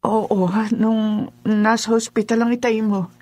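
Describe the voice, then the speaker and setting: A woman's voice: fairly high pitch, level tone, a little slow, a little mellow, no echo, natural voice. A woman playing a fretful, bossy older mother in a radio drama, with a Filipino accent and a nagging, exclamatory way of talking. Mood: anxious, hesitant. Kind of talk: Tagalog radio drama acting.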